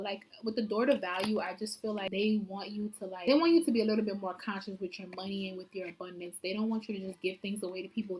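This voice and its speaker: A woman's voice: medium pitch, a little mellow, low-quality recording. Chatty woman giving a tarot reading. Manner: chatty, heavy use of "like" and "I feel like". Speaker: tarot card reader. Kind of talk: casual pick-a-card tarot reading.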